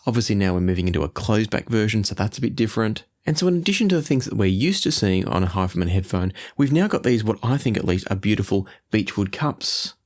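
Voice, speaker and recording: Fast-talking man with a lowish voice. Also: expressive. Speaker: audio gear reviewer. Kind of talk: product review to camera. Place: small treated home studio indoors.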